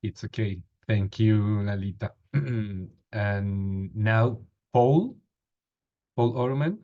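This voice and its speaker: A man in his thirties moderates an online meeting over his webcam, speaking slowly in a somewhat low voice. He pauses often with fillers. The audio is dull and lo-fi. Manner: hesitant, frequent fillers. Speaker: meeting host. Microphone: video call webcam.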